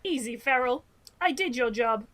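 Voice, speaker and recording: A woman's voice: on the high side, animated, moderate pace, fairly bright tone. An actress playing a cocky, opportunistic female starship guard in an audio drama, brash and pushy. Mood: cocky, eager.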